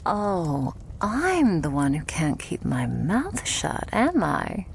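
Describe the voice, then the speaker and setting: ASMR roleplay casting: woman as devoted, stubborn vampire girlfriend, lowish soft breathy voice, unhurried intimate delivery, lightly teasing, creaky edges. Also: huge pitch swings, slight background noise, heavily acted. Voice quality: soft, breathy, often whispered. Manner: slow, tender, coaxing, lightly teasing. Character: loving, doting, stubborn female vampire girlfriend.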